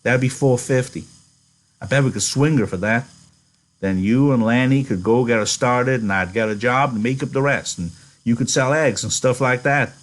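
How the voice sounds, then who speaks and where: A man voicing a practical, guarded yet dreamy male ranch worker with rough, folksy phrasing in a novel reading. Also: mid-range voice, moderate pace, lightly acted, rough recording. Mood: thoughtful. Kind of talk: audiobook-style character reading.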